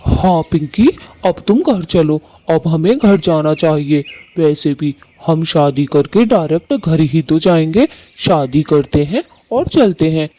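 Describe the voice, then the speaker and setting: A man voicing Kalu, a harsh, bossy male bird husband in a cartoon who scolds loudly. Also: on the high side, expressive, a little slow, few pauses. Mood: pleased. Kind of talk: Hindi cartoon voice acting.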